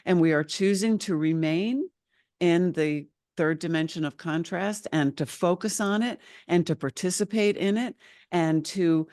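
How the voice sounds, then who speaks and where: A woman's voice: lowish voice, unhurried, a little mellow, dry recording, clean studio sound. A woman channeling spiritual teachings as a guest, speaking earnestly and insistently as a collective "we" over a video call.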